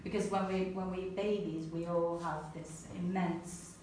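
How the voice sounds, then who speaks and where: A woman with an Italian accent giving an earnest, animated personal talk to an audience, then answering questions. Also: lowish voice, a little slow. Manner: earnest, animated, laughs easily. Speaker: speaker at a talk. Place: event room with an audience. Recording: echoey room, mic at a distance, faint noise.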